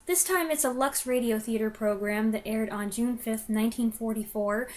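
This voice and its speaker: A woman's voice: fairly high pitch, moderate pace. A woman giving a conversational review, opinionated and given to wry asides.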